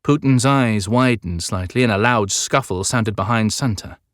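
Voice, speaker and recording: A man's voice: on the low side, normal speed, dry recording, clean studio sound. A man narrating an audiobook in a scripted, dramatic storytelling style.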